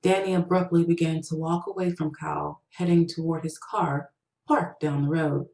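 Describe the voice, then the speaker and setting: Female story narrator, low register, author reading own fiction, recorded in an echoey room. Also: fairly even intonation, normal speed, vocal fry, darkish tone.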